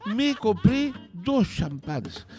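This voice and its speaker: A man's voice: high voice, big pitch swings, extremely slow, over music, heavily acted. A man playing a dejected fan of the French team, complaining in mock-mournful tones. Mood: glum. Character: dejected middle-aged male French football fan.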